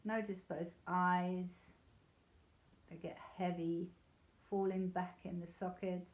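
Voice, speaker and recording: A woman leading a guided yoga nidra meditation in a soothing, unhurried, gently reassuring way. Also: lowish voice, little pitch movement. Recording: slightly dull, echoey room sound.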